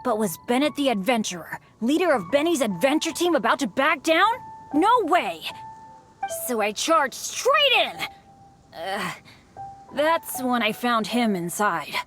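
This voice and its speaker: Bright-eyed, animated boy adventurer. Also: a little high, measured pace, faint music behind. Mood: dramatic recounting. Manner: boyish, excitable, dramatic storytelling. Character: earnest, eager, unlucky teenage boy adventurer. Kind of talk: video game voice acting.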